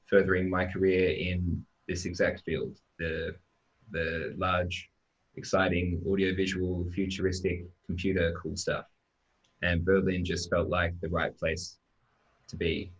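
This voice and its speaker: Deep-voiced man, relaxed and chatty. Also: unhurried, muffled recording. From Australia. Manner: relaxed, chatty, with frequent ums and restarts. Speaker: software developer presenting. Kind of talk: casual presentation on a live-stream.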